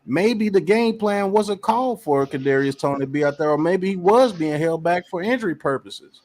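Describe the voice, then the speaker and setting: A man's voice: high-pitched, normal speed. A man hosting a casual sports podcast, reading out chat comments and arguing his points emphatically. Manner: animated, emphatic, repeats phrases. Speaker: podcast host.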